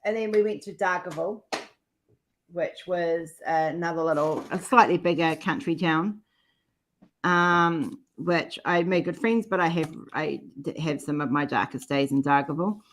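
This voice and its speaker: A middle-aged woman with a New Zealand accent talks in a fairly low voice. She is a guest in a casual group chat, sharing personal stories in an informal way. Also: moderate pace, slight background noise.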